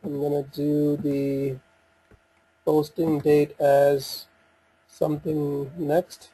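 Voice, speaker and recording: A man giving an unscripted software walkthrough, talking through each step in a halting way as he thinks aloud. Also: on the high side, monotone, slightly rough, rough recording. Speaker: software demo presenter.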